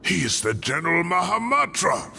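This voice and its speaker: An actor playing a weary, remorseful old scholar in a video game, gravelly and grave, with a protective defiance. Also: male voice, fairly high pitch, big pitch swings, sharp tone, slight background noise, heavily acted. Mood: defiant, protective. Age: older. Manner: slow, grave, deliberate delivery. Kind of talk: dramatic video game dialogue. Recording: music playing under the voice.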